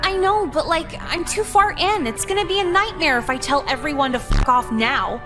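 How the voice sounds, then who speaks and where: Sarcastic high school girl, high voice, deadpan, foul-mouthed, game dialogue over music. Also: normal speed. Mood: defensive. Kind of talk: visual novel game voice acting.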